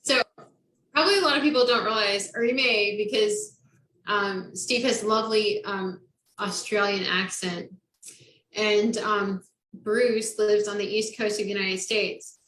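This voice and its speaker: A woman's voice: medium pitch, steady tone, unhurried, a bit bright, slight background noise. Chatty woman host, echoey room. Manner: bubbly, filler-heavy, laughs often. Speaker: podcast host. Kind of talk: casual interview.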